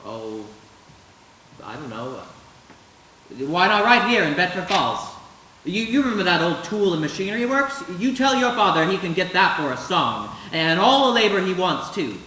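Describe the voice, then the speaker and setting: Bright, flustered stubborn young man played by an actor. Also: high-pitched, sing-song, normal speed, heavily acted. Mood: uneasy, insistent. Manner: stammering, flustered. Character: awkward, stubborn, prickly small-town young man. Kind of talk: live 1940s-style radio play acting. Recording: roomy stage with faint noise.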